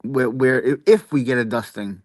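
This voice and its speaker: A man's voice: medium pitch, normal speed, no echo, clean studio sound. A man with a New York accent, a guest chatting casually and repeating himself as he talks. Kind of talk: casual chat.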